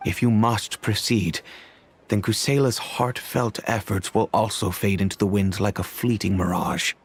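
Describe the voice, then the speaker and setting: A man's voice: fairly low pitch, fairly even intonation, slightly rough. A man playing a dignified middle-aged father and family head in a video game, speaking formally and solemnly. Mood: grave warning. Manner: formal, grave, measured. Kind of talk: video game character acting. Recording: music behind the voice.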